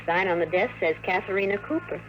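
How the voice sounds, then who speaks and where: Casting: female actor for cool, sassy office secretary, teasing delivery, mid pitch, vintage radio sound. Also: quick pace, natural voice. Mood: arch, teasing. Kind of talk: 1950s radio drama acting. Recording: faintly noisy vintage radio recording.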